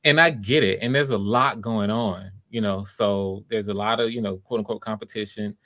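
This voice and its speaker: A man's voice: lowish voice, normal speed, a bit bright. A man hosting online auditions in a casual, conversational way, with an enthusiastic and encouraging manner. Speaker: host of online voice auditions, casting for a project.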